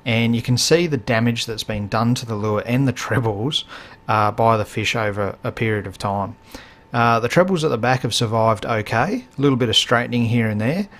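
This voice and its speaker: A man's voice: medium pitch, fairly bright tone. A man in his thirties with an Australian accent, hosting a fishing channel in casual how-to vlog talk, plain-spoken with frequent fillers, filmed in his home workshop.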